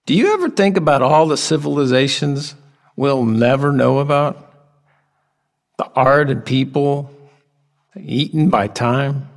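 An actor playing an odd, nostalgic exterminator in an audio drama, earnest and halting with a childlike sense of wonder. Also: male voice, medium pitch, creaky, no room sound, studio quality. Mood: wistful. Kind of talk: intimate audio drama acting.

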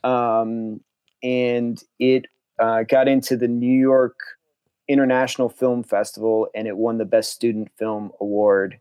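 A man's voice: mid-range voice, flat delivery, unhurried, a little mellow, clear, resonant tone, no echo. Enthusiastic young man, dry sound. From Connecticut, USA. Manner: rambling, restarts often, enthusiastic. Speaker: filmmaker guest. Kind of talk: casual interview.

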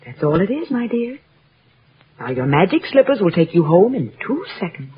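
A woman's voice: on the low side, sing-song, normal speed, breathy edge, exaggerated voice. An actress playing a serene, wise and kindly good witch in an old radio drama. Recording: tinny old radio recording.

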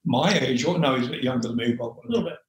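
An older English man with a loud speaking voice, telling rambling stories as an interview guest, with many fillers and frequent laughs. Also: medium pitch, lively intonation, racing, reverberant, a little hiss or hum. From England. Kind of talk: relaxed storytelling interview.

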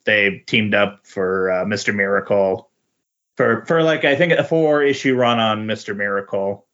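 A man speaking as a podcast guest in a casual comic book discussion, halting and often repeating words. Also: lowish voice, a bit bright. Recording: dull lo-fi voice recording.

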